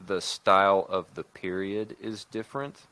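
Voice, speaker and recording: A man speaking as a podcast host in a casual discussion, thinking aloud with halting phrasing. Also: sits low, flat delivery, bright, forceful tone, poor audio. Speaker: podcast host and writer-producer.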